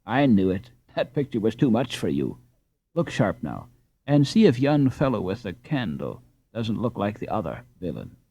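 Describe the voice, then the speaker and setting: A man's voice: on the low side, sing-song, moderate pace, gravelly, mellow, slightly rough, full character voice. A man voicing a scornful, teasing boy in a storybook, with a disdainful manner. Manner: disdainful, sneering. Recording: slightly dull, dry recording.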